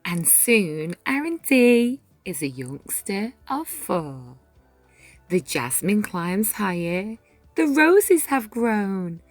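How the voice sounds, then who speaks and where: A woman reading a rhyming children's picture book aloud, with measured, dramatic phrasing and deliberate pauses. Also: mid-range voice, no room sound. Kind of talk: expressive children's read-aloud narration in rhyme. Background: music.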